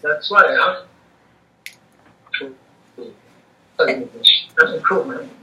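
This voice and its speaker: Young male student, native Kurdish speaker, soft-spoken second-language answers, muffled video-call audio. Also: fairly high pitch, measured pace, slight background noise. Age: young adult. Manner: hesitant, searches for words with many uh's. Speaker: student being interviewed. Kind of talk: halting conversational answers. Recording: distant, echoey, dull call audio.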